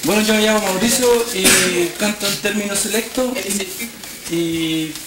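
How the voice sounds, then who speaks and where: A young man from Labranza, an MC, giving a quick upbeat introduction. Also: high voice, distant mic. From Labranza, Chile. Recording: echoey hissy camcorder tape from afar.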